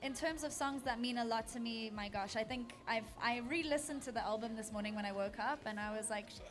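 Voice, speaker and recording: A woman's voice: on the high side, a little fast. Enthusiastic woman singer over studio music. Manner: earnest, enthusiastic, many ums and restarts. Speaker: singer guest. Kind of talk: radio interview. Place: radio studio. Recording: radio studio with music behind.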